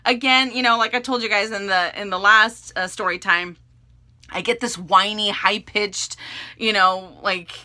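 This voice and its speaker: A woman vlogger telling a story to camera in a loud, animated, rambling way. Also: medium pitch, a little slow. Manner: animated, loud, not soft-spoken; rambling with many fillers and restarts.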